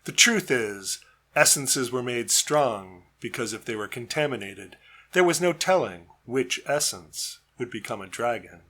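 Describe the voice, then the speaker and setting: A man reading his own narrative poem as a storyteller, with measured, deliberate phrasing. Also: mid-range voice, lively intonation, a bit bright. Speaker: poet reading own work.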